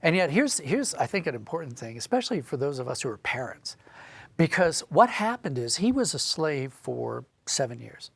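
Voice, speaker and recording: A man's voice: on the high side, very animated, normal speed, gravelly, husky, dry recording. A man speaking as an interview guest, explaining and telling stories conversationally with emphatic phrasing. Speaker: guest, audio drama writer and director.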